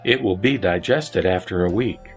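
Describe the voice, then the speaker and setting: A wise, composed lay sage read by a man speaks in a sutra audio drama. The low voice is measured and formal, with faint music beneath. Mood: composed, assured. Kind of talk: audio drama scripture dialogue. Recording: background music under the voice.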